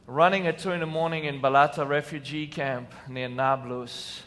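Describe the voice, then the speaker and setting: A man's voice: on the high side, fairly even intonation, moderate pace. Israeli man telling stories on stage. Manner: earnest, emphatic, with sudden loud bursts. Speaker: conference speaker. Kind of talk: personal storytelling talk on stage. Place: large hall on a lit stage. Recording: distant hall reverb, faint room noise.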